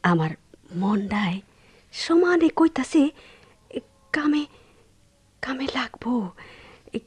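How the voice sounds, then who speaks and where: Slow, husky, sorrowful village wife. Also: female voice, sits high, big pitch swings, full character voice. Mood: wistful, brooding. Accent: rural Bangladeshi. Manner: halting, breathy, drifting into whispers. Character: sorrowful, brooding Bengali village wife, anguished and wistful. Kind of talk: radio drama monologue.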